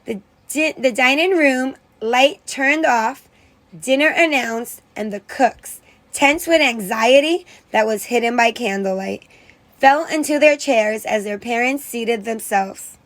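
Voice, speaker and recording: High-pitched woman reading a children's story aloud. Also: slow pace, a bit bright. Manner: dramatic emphasis on exclamations and character lines. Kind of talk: expressive children's read-aloud narration.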